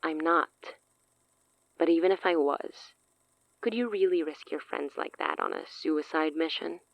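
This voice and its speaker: An actress playing a cunning, self-assured sorceress in an audio drama, speaking in a theatrical, silky, condescending way. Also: female voice, low-pitched, a little slow, gravelly, no echo, dull audio. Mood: smooth, commanding. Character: cunning, self-assured woman sorceress offering a dangerous pact.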